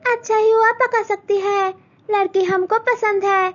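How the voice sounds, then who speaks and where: A haughty, demanding groom's mother played by a woman narrator gives orders in a high, low-volume voice. She sounds proud and bossy. Dull lo-fi sound. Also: fairly even intonation, a little slow. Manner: bossy, commanding.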